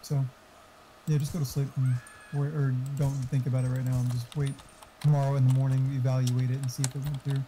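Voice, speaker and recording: A man's voice: mid-range voice, little pitch movement, a little fast, mellow, poor audio. A man chatting casually with a gaming friend over voice chat, joking crudely and swearing freely. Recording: faint background noise.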